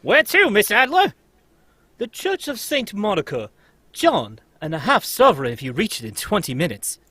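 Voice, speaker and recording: A man playing hurried Victorian cab passengers and drivers in a brisk, urgent radio drama style. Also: high-pitched, wildly swooping pitch, bright, forceful tone, no room sound, heavily acted. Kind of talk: old-time radio drama script read live.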